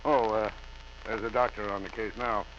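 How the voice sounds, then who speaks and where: An actor playing a genial but probing police captain in an old radio drama, brisk and plain-spoken. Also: male voice, on the low side, fairly even intonation, fairly bright tone, lightly acted. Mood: businesslike. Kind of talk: 1940s radio drama acting. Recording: dull vintage radio, faint hum.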